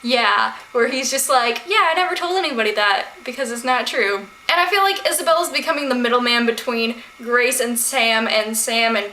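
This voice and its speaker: A woman's voice: on the high side, bright, forceful tone. A woman chatting casually about books as a vlogger, slangy and full of asides and questions to viewers. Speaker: book vlogger. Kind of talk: casual book discussion to camera. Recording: echoey room with faint noise.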